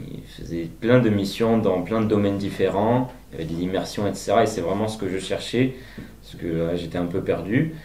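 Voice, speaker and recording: Deep-voiced young man, hesitant. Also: a little mellow. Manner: hesitant, many fillers. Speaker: civic service volunteer. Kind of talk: personal testimonial. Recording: roomy recording, faint noise.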